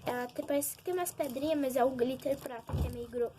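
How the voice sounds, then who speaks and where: A woman's voice: sits high, steady tone, quick pace. A young girl with a Brazilian accent chatting casually and informally to her viewers as she demonstrates something by hand. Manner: informal, giggly, addresses viewers often. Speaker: vlogger showing slime. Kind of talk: casual chat to camera with hands-on demonstration. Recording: noisy home recording with clicks.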